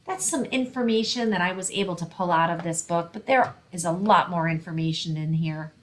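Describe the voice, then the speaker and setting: A woman's voice: on the low side, brisk, a little hiss or hum. A woman reading a picture book aloud and explaining things simply for children, as a librarian hosting story time.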